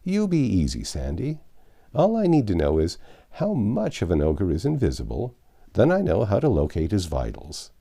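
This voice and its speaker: A confident, wry American storybook hero, acted out by a male reader, talks with easy assurance. His voice is mellow and a bit gravelly, with very lively intonation and an explanatory manner. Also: lowish voice, moderate pace, heavily acted. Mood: bold reassurance. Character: confident, wry American man humoring a damsel.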